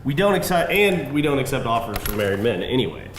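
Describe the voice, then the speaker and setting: An actor playing a smooth, defensive man pitching a shady job in a live screenplay table read. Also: sing-song, quick pace, natural voice. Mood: defensive, persuasive. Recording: roomy live venue, faint noise.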